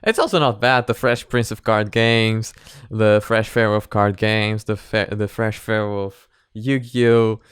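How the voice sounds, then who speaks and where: Man, reaction video host, casual analysis, smooth clear voice, dry recording, hesitant, rephrases often. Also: fairly low pitch, steady tone, a little slow, a bit bright, dry recording.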